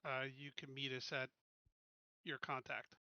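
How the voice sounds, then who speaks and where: A man playing a tabletop game, chatting casually and thinking aloud in a halting way. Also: mid-range voice, level tone, bright, forceful tone, no room sound, muffled recording.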